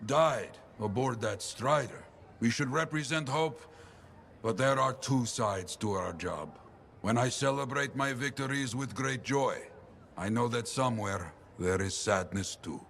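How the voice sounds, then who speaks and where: A man playing an earnest, solemn veteran adventurer, telling stories deliberately in a gravelly voice in a video game. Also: mid-range voice, a bit bright, slight background noise. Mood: solemn conviction. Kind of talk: dramatic video game cutscene acting.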